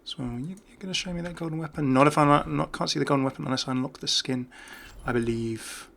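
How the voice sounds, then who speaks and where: A man chats his way through casual game commentary with obvious enthusiasm. His voice is bright and forward with plenty of creak at the edges, and he talks off the cuff, keen on every small detail. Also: on the high side, fast talker.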